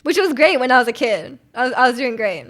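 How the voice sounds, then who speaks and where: A woman's voice: fairly high pitch, animated, quick pace, a bit bright. A woman in her twenties, a native Japanese speaker, chatting casually to livestream viewers in a giggly, rambling, self-deprecating way. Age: 20s.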